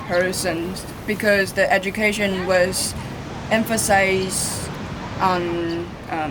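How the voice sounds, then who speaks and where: A woman giving casual interview answers in a halting way, searching for words. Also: medium pitch. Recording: noisy outdoor field recording.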